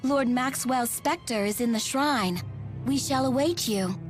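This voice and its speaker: A woman's voice: high voice, measured pace, over music. A woman acting a cruel, mocking enforcer in a video game, coldly polite, with formal and condescending phrasing. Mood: coldly imperious.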